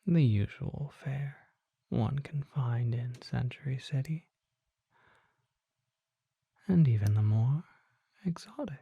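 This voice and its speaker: Performer acting a whispering, husky vampire apothecary. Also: mid-range voice, no echo, highs cut off, heavily acted. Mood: gentle, courteous. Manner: formal, polite, unhurried, old-fashioned phrasing. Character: courteous, mysterious vampire apothecary merchant, softly musing. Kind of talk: whispered ASMR roleplay.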